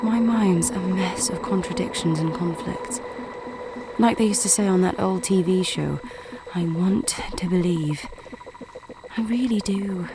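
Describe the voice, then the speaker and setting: An actress playing a wry, conflicted woman musing to herself in an audio drama monologue, with music behind her. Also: lowish voice.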